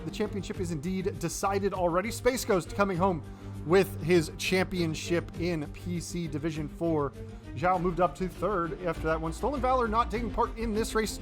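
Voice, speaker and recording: American man calling a sim race. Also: fairly high pitch. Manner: chatty play-by-play with fillers and self-corrections. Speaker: race commentator. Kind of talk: live sim racing commentary.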